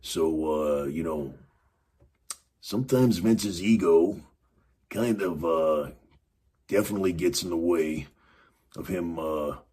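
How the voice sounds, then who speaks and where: A man with a raspy, extremely deep voice hosts a podcast on his own. He talks in a loose, rambling way, full of "you know" and "uh". There is a breathy roughness to his tone. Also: slow pace, long pauses, mellow. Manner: rambling, many fillers and restarts. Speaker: podcast host. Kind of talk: casual wrestling commentary monologue.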